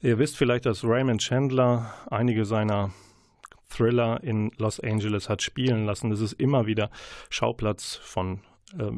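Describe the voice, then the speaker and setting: A man's voice: on the low side, steady tone, normal speed, darkish tone, no room sound, studio quality. A man hosting a radio show in a chatty, informal presenting style. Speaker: radio host. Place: radio studio.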